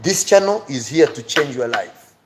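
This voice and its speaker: Guy teaching on a livestream, medium pitch, repeats stuff to drive it home, bit of noise behind him. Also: sing-song, moderate pace. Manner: emphatic, repeats phrases for emphasis; addresses viewers directly, pleading and encouraging. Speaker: online teacher and livestream host. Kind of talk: livestream English reading-skills lesson. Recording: clear background noise.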